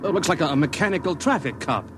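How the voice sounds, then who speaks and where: A man's voice: fairly high pitch, expressive, fast, close to an everyday voice. Man acting a slangy guard over vehicle noise. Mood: chatty, curious. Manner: slangy, exclamatory. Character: folksy, excitable male armored truck guard. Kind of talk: old-time radio drama acting. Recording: noisy old radio, vehicle sounds. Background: truck and road sound effects.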